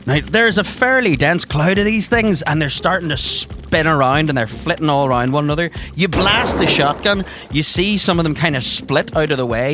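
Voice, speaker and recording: A man narrating a tabletop adventure as game master, in vivid, theatrical and descriptive language. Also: on the high side.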